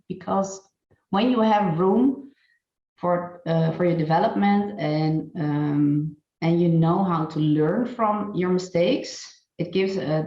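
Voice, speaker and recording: A woman giving an online presentation and interviewing a colleague, halting and full of fillers. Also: lowish voice, gravelly, darkish tone. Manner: hesitant, many fillers and restarts. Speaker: presenter and recruiter. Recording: dull, roomy online call audio.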